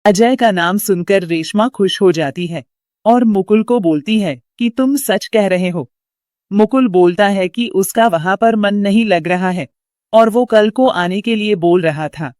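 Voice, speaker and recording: A woman's voice: mid-range voice, a little slow, a little mellow, no room sound, clean studio sound. A woman reading a scripted story as its narrator.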